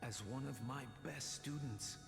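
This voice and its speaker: An echoing stern teacher. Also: male voice, medium pitch, vocal fry. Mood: solemn, pleading. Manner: earnest, admonishing, measured. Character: stern, caring older male teacher and sage. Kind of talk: theatrical video game voice acting. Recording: echoey long reverb, music behind.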